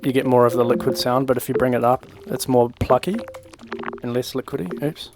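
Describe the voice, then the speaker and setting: A man's voice: medium pitch, steady tone, brisk. A young man giving a casual music production tutorial in an informal, improvised way, thinking aloud as he works. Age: young adult. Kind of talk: casual screen-recorded software tutorial. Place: indoor room at home. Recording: loud electronic synth music over voice. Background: loud synth and beat playback.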